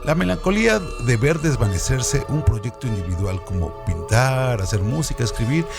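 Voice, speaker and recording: A man narrates scripted literary notes as a radio co-host, with lively swings in intonation. His delivery is rhetorical and expository. Faint music runs beneath the voice. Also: medium pitch, normal speed, gravelly.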